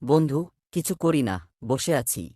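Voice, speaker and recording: A man's voice: a little high, unhurried, warm tone, no room sound, clean studio sound. A man voicing a boastful young boy who bluffs to his friends in casual cartoon dialogue. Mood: bragging, evasive.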